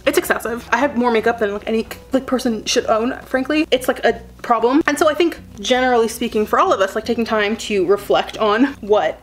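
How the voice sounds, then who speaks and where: Fast-talking young woman, rambling. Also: on the high side. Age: 20s. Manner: rambling, full of "like" fillers. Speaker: beauty vlogger. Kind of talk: chatty get-ready-with-me talk while doing makeup.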